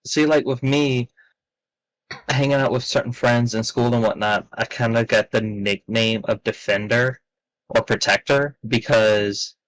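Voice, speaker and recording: A man's voice: mid-range voice, steady tone, unhurried, fairly bright tone, no room sound, low-quality recording. A man chatting in a laid-back, rambling way on a podcast, with many fillers. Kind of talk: casual podcast chat.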